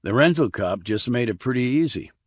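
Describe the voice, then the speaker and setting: A man voicing a wry, sardonic army investigator in an audiobook, with dry, clipped, deadpan delivery. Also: medium pitch, brisk, slightly rough, no room sound. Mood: dry, probing. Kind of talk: audiobook character dialogue.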